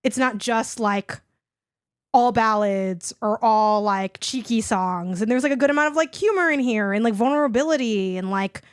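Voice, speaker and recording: Hoarse young woman, rambling chat. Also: fairly high pitch, normal speed, dry recording, studio quality. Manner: rambling, heavy use of "like". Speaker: podcast co-host. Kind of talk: casual chat.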